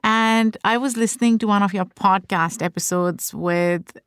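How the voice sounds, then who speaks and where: A woman's voice: medium pitch, unhurried, fairly bright tone, clean tone, no echo, studio quality. A woman hosting a podcast, asking probing questions and prompting her guest to say more. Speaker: podcast host. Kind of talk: interview questions.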